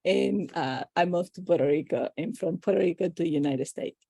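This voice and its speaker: A middle-aged woman with a Dominican Spanish accent, a native Spanish speaker answering casually and haltingly as a podcast guest. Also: fairly low pitch, gravelly, dark tone, breathy edge, dry recording, studio quality. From Dominican Republic.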